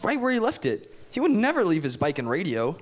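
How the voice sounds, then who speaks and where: An earnest, pushy young teen, acted by a performer, speaks with urgent insistence. The pitch swings widely in this live drama read. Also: wildly swooping pitch, brisk. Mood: insistent.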